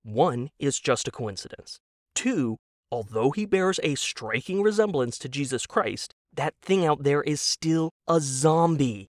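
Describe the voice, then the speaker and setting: A man voicing a scornful, exasperated wife in an audio drama, spelling out her disbelief with slow emphasis. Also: a little high, expressive, no echo, clean studio sound, pitched above the performer's own voice. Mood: incredulous. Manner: incredulous, slow emphatic delivery. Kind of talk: audio drama character dialogue.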